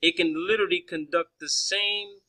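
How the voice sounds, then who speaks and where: Insistent man with a very bright, forceful voice. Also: high voice, steady tone, moderate pace, breathy edge. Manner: insistent, repeats and restarts phrases. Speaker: caller questioning a space agency official. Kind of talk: argumentative phone conversation.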